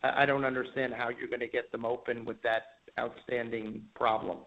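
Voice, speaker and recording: A man calling in as an analyst, putting probing questions in a halting way on an earnings call. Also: mid-range voice, flat delivery. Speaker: caller, investment analyst. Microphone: telephone. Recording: tinny narrow phone line.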